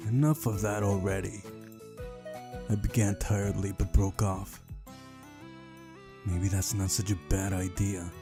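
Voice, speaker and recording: A man's voice: lowish voice, steady tone, unhurried, pauses often. A man reading a visual novel's first-person story aloud as the narrator of a playthrough. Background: music.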